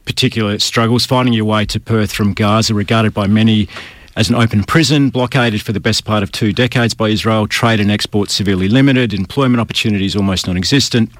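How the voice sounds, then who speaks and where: A man's voice: fairly low pitch, fairly even intonation, quick pace, no echo. A man hosting an interview, putting long, considerate questions to his guests. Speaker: interviewer, host.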